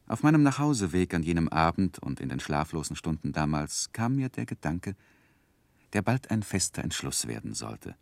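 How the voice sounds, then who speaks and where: An actor playing an earnest grown man who narrates his boyhood memoir in measured, reflective literary style for a 1960s radio drama. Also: sits low, creaky, a little mellow, dry recording, heavily acted.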